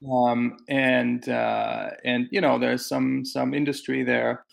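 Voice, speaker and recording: A man's voice: mid-range voice, little pitch movement, slow pace, creaky. A man in his forties from Austria, a guest answering interview questions over a teleconference, hesitant with many fillers and restarts. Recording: teleconference call audio.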